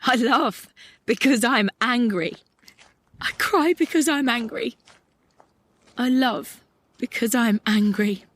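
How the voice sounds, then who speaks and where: A woman playing a melancholic, angry young idealist in a poetic audio drama monologue. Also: fairly high pitch. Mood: angry, impassioned. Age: young adult. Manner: lyrical, reflective, deliberate. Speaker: actor in an audio play.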